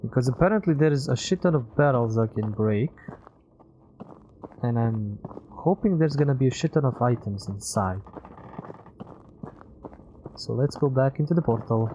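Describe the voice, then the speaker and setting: A man's voice: mid-range voice, expressive, moderate pace, long pauses, warm tone, a little hiss or hum, rough recording. A man giving casual live video game commentary as a streamer, thinking aloud, muttering to himself and swearing freely. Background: music.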